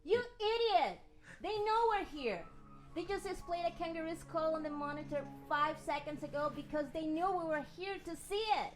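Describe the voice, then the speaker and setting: Woman acting an anxious, high-voiced scientist, echoey room. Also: expressive, moderate pace. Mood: reproachful. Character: Sheila, sharp, anxious female scientist under siege. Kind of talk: amateur radio play table read. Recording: echoey room, music behind.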